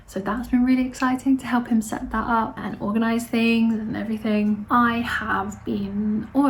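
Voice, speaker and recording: A woman, hosting her knitting podcast in a casual, rambling chat full of fillers and restarts, her voice slightly hoarse. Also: on the high side.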